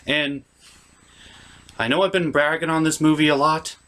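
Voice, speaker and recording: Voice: mid-range voice, fairly even intonation, normal speed, a bit bright. A reviewer delivering a scripted comedic review in a sardonic, emphatic way, as the host of the show.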